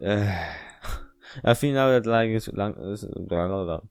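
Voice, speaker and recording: A man with a non-native English accent reads a visual novel aloud as a let's play host, haltingly, with casual asides. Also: lowish voice, clean tone, no echo. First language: not English. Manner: halting, stumbling reading.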